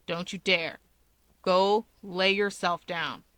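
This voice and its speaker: A woman voicing a tired, sardonic young male villain in a story reading, curt, deadpan and grumbling. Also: fairly low pitch, slow, clear, resonant tone, dry recording. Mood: snappish. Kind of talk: fan fiction audiobook character voice.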